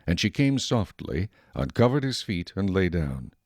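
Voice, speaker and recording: A man narrates scripture in a measured, steady way. His voice is low, and the recording is dry and close, with no room sound. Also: no echo.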